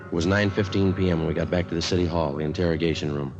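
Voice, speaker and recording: A deadpan radio cop, very low voice, quick clipped delivery, dull old-time recording. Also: male voice, creaky, over music. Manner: deadpan, matter-of-fact. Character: terse, businesslike male police sergeant detective, narrating his case. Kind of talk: clipped deadpan radio crime narration and dialogue.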